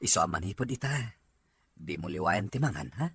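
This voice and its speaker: A man's voice: low voice, lively intonation, a little fast, a bit bright, slightly rough, dry recording, heavily acted. A man playing a concerned, attentive young husband with a Filipino accent in an Ilocano audio drama. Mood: caring.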